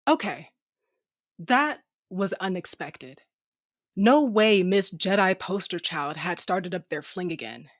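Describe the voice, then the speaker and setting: A woman's voice: fairly low pitch, expressive, slow, no room sound. Woman playing a tough sardonic female captain, dry close recording. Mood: wry narration. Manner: blunt, wry, slangy. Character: tough, sardonic, foul-mouthed female ship captain with a cybernetic eye. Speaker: narrator and lead character. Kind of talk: first-person audio drama narration and dialogue.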